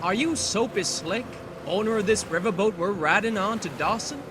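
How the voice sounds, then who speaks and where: Man as a high-voiced, brash frontier lawman. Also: moderate pace, background noise, natural voice. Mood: businesslike. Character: brash, confident Wild West lawman businessman. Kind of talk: fan comic dub acting.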